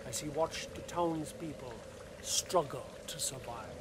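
A man narrating a scripted radio play as a deliberate, dramatic storyteller. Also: mid-range voice, noisy background.

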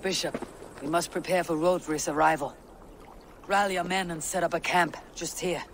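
Brief: steady Viking chief, slightly high, firm and level, faint outdoor hiss. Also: male voice, normal speed. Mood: resolute. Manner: firm, composed, commanding. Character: steady Viking clan leader, calm and resolute. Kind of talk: video game cutscene acting.

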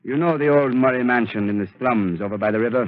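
An actor playing a scheming male mastermind in a 1930s radio drama, speaking slowly and with menace. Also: medium pitch, close to an everyday voice. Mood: commanding. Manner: slow, menacing, measured. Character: scheming male mastermind bent on ruling the world. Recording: muffled old radio broadcast.

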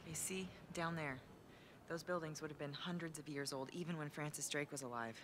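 An actress playing a capable, level-headed young woman journalist in an action video game, speaking briskly and practically. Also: low-pitched, fairly even intonation, lightly acted. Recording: game audio with clear background noise.

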